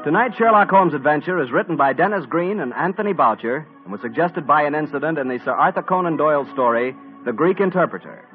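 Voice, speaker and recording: A young male radio announcer with an upbeat, smooth 1940s commercial delivery. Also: a little high, lively intonation, quick pace, over music. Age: young adult. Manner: upbeat, smooth salesman patter. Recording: tinny old radio broadcast.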